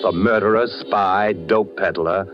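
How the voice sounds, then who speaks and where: Deep voiced gumshoe, terse n sardonic, 40s radio thriller, muffled old sound. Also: male voice, fairly even intonation, unhurried, music in the background. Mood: hard-boiled narration. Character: wry, wary, tough-talking American investigator hero. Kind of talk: 1940s radio thriller acting with first-person narration. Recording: dull vintage 1940s radio recording.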